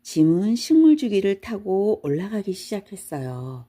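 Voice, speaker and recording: A woman narrating a children's bedtime storybook in a measured storytelling manner. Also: mid-range voice, very animated, warm tone.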